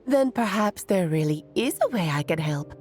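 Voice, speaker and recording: A woman playing a whimsical, affectionate magician in a video game, with a theatrical, musing delivery and drawn-out phrasing. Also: lowish voice, expressive, darkish tone, lightly acted. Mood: musing, gently regretful. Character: whimsical, affectionate female magician and old friend. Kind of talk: dramatic video game voice acting. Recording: music under the voice.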